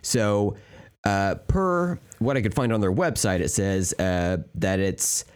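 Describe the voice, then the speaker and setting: A man with a hoarse voice co-hosting a podcast, rambling and joking with lots of fillers and restarts. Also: fairly low pitch, slow pace. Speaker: podcast co-host. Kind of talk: casual chat. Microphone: remote call mic.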